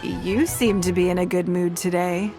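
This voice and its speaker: A woman voicing a composed, wealthy woman leader in a game, measured and authoritative. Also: on the low side, natural voice. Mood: exasperated. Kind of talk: game voice acting. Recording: game dialogue over faint music.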